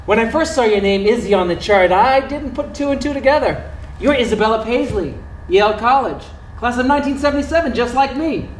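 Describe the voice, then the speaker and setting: A man's voice: extremely high pitch, animated, brisk. A man playing an earnest, conversational doctor near sixty, a courteous former geek, in a live stage play. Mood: nostalgic, teasing. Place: indoor theater stage. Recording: distant, echoey theater stage with noise.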